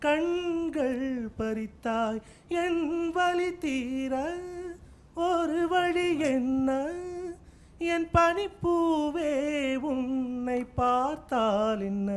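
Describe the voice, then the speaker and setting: A woman sings film songs as an audition contestant. Her voice is high, with very drawn-out phrasing and faint music behind it.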